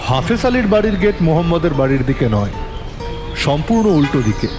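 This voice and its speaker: A man's voice: on the high side, very animated, a little fast, music in the background. Male detective-story narrator in long reverb. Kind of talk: first-person dramatised detective narration.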